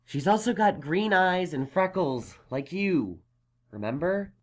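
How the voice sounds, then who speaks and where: A woman playing a brainy, exasperated young male unicorn magician in a fan audio drama, questioning in a measured way. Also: fairly low pitch, animated. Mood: exasperated probing.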